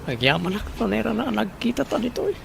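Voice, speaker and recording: A male actor plays an ailing, downhearted man in an Ilocano radio drama. His voice is very creaky and gravelly, set somewhat high for a man, with an Ilocano accent. There is faint noise behind him. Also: very animated, fast talker, full character voice. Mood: confiding. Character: sick, struggling smoker man with a lung illness, downcast.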